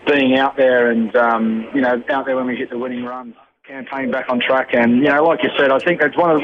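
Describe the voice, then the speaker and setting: A hoarse man with an Australian accent, talking in a modest, hesitant, laid-back way as he is interviewed by phone. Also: mid-range voice, muffled recording, background noise. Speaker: interviewed cricketer.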